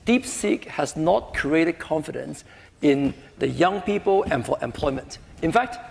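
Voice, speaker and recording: A man's voice: fairly high pitch, gravelly. A man speaking on a panel, tagging his points with "right?" and "I think". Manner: peppers speech with "right?" and "I think". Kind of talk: panel discussion. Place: conference hall. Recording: echoey conference hall.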